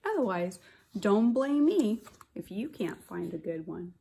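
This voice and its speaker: Lady teacher reading a kids book out loud, bit raspy w/ some creak, expressive, stops to chat to the little ones. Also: medium pitch. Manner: deliberate, expressive storytelling with stressed words. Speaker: kindergarten teacher. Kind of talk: children's picture book read-aloud with chatty asides.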